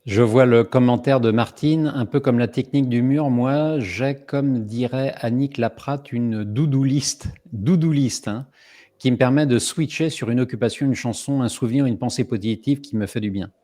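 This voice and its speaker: A middle-aged man hosts a live online summit over a video call. He tells stories in a chatty, rambling way with plenty of "euh" fillers. His voice sits at a medium pitch. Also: normal speed, dark tone. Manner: chatty, many fillers, storytelling. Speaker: host.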